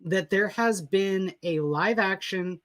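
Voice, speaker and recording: A co-host chatting on a live comics stream, taking time to inform the viewers. The voice sits at an ordinary middle pitch, and the talk is measured and casual. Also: a bit bright.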